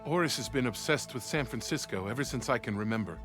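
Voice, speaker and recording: A man's voice: mid-range voice, animated, quick pace, fairly bright tone, lightly acted. An actor playing a formal, anxious male weapons officer in a video game, earnest and deferential in his manner. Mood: earnest, sorrowful. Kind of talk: video game cutscene acting. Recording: music behind the dialogue.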